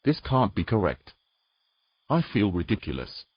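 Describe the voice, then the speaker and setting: Grumpy, incredulous knight played by a man for fantasy audio drama; husky voice, very dry close sound. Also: on the low side, very animated, measured pace, no echo, highs cut off, heavily acted. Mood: grumbling, self-conscious. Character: grumpy, reluctant male knight learning to swim.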